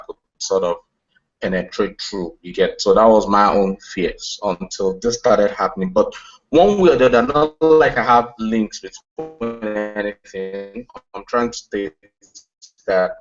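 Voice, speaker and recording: Low-voiced man, hesitant. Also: highs cut off. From Nigeria. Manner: hesitant, many "um" fillers, tentative phrasing. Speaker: tech company founder. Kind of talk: television interview.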